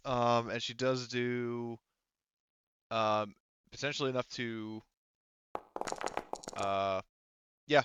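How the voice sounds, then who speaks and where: Slow, halting game master, clean-voiced man. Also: mid-range voice, fairly bright tone, clear, resonant tone, dry recording, muffled recording. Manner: hesitant, thinking aloud while working out rules. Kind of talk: running a tabletop roleplaying game, casual.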